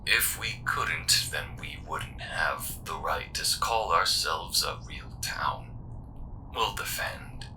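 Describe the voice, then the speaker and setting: A man playing a grim, terse scout defending a frontier space town in an audio drama, speaking gravely and deliberately in a breathy half-whisper over a noisy comms call. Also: rumbling low, bright, forceful tone, full character voice. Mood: grim, urgent.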